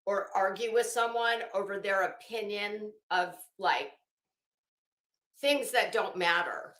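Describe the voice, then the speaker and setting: A woman hosts a casual livestream in a bright, forward voice. She takes her time as she talks, chatty and blunt with her viewers. The room around her adds a clear echo. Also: medium pitch. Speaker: livestream host. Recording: echoey room.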